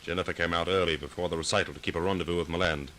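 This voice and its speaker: An actor playing a brave, confident amateur sleuth in an earnest 1940s radio drama. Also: male voice, sits low, steady tone, very fast, fairly bright tone. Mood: explaining. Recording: faintly noisy vintage 1940s radio recording.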